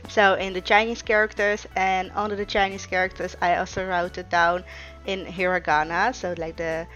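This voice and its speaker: A woman's voice: fairly low pitch, normal speed, highs cut off, faint music behind. A young woman whose first language is Russian, chatting casually through a plan-with-me video, with frequent ums and uhs. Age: young adult. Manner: relaxed, chatty, many filler ums and uhs. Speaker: vlogger.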